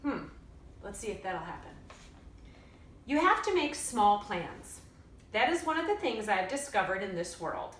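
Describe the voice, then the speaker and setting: A woman teacher reading a story aloud in an expressive storytelling style. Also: mid-range voice, unhurried, long pauses, slight background noise. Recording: roomy echo, distant mic.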